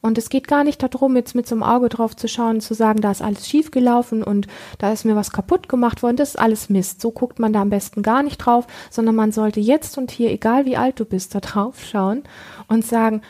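Woman, earnest coaching talk, slightly high voice, mellow and breathy. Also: fairly even intonation, a little fast. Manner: earnest, searching for words with many fillers. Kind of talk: reflective teaching talk.

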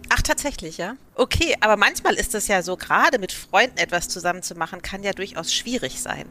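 A woman's voice: medium pitch, lively intonation, fairly quick, bright, forceful tone, slight background noise. A woman hosting a casual interview, asking questions in an informal, curious, chatty way. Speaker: interviewer, host.

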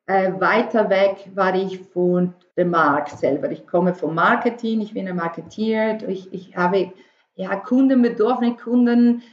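Venezuelan-accented woman in a muffled, echoey room. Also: medium pitch. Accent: Venezuelan Spanish. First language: Spanish. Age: middle-aged. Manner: halting non-native speech with English business words. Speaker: interview guest, marketer. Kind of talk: casual personal storytelling.

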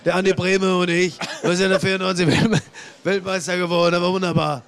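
A man's voice: high voice, little pitch movement, a little fast, creaky, fairly bright tone. A man co-hosting a live football podcast, dropping dry, teasing remarks. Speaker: podcast co-host.

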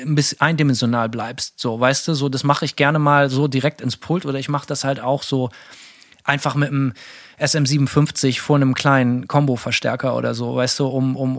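A man's voice: mid-range voice, flat delivery, a little fast, dry recording, clean studio sound. A man co-hosting a casual podcast chat, rambling with many fillers and restarts. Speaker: podcast co-host.

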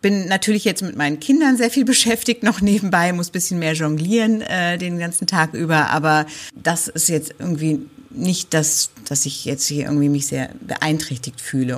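A woman from Berlin, a guest author, talking over a video call with many pauses, fillers and restarts. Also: low voice. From Berlin, Germany. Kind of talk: remote interview chat.

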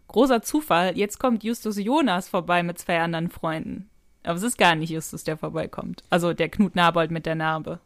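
A woman with a slightly low voice speaks as a podcast co-host. Her talk is casual and conversational, recorded dry with no room sound. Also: moderate pace, no echo.

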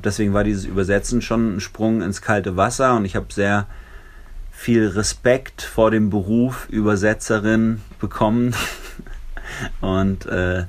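Man, native Italian speaker, lowish voice, thoughtful, searching for words. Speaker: interview guest, song translator. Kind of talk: conversational interview answers.

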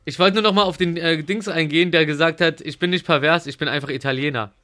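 Male podcast co-host, bright and forceful, slightly high voice, chatty and rambling, stumbles over starts. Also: quick pace. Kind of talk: casual banter among friends.

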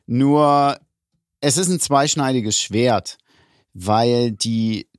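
A man's voice: medium pitch, drawn-out words, no echo, clean studio sound. A man from Germany co-hosting a casual podcast, explaining his views at length in a reflective way. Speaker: podcast co-host.

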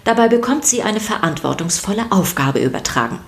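A woman's voice: medium pitch, very animated, brisk, a little hiss or hum. A woman narrator reading a scripted podcast for children.

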